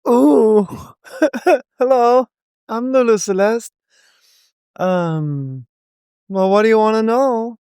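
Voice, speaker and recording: Chatty Danish woman, smooth clear voice. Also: on the high side, slow, long pauses, warm tone, dry recording, clean studio sound. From Denmark. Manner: chatty, laughs often, rambling asides. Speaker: guest, rapper. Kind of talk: casual interview chat.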